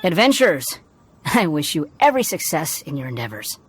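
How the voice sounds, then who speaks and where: A man voicing a polite, enigmatic young vagrant in a video game, smooth and faintly amused. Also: fairly high pitch, very animated, fairly quick. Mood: courteous. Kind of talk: video game character acting. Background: background music.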